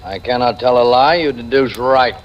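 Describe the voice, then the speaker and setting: An actor in 1940s radio style playing a gruff, unimpressed homicide lieutenant with a terse, wry, deadpan manner. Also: male voice, mid-range voice, moderate pace, close to an everyday voice. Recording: dull, faintly noisy vintage radio.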